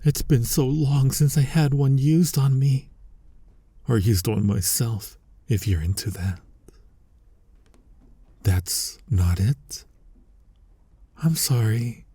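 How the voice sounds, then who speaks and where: A man playing a flirty, dominant incubus demon in an intimate ASMR roleplay, teasing the listener in a husky, breathy voice that often drops to a whisper. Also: mid-range voice, dark tone, no echo. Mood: eager, flirty. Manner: slow, sultry, teasing, addressing listener directly. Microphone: close mic, dry.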